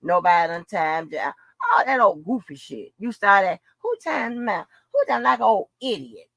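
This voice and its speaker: A woman's voice: medium pitch, very animated, moderate pace, creaky, fairly bright tone, no echo. A woman in an unscripted livestream rant, blunt, profane and confrontational, repeating phrases for emphasis. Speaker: livestream host.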